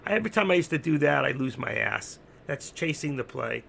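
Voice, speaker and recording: A man with a gravelly, hoarse voice that is giving out, doing unscripted live trading commentary, thinking aloud, repeating himself and instructing his viewers. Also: fairly high pitch, sing-song, a little fast, fairly bright tone, slight background noise. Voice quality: gravelly, creaky. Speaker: live stock-trading streamer.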